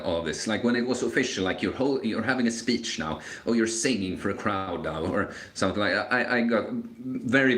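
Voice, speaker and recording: A man's voice: mid-range voice, a bit bright. Rambling young man in an echoey room. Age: 20s. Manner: rambling, hesitant, many fillers and restarts. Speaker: live stream host. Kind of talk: casual live Q&A chat.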